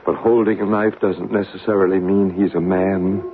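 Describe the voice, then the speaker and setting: An actor playing a male psychic who guides a séance in slow, hushed tones and narrates the story, in a 1970s radio drama. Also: deep voice, flat delivery, lightly acted. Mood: soothing. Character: calm, soothing male psychic guiding a séance. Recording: narrow, hissy old radio broadcast.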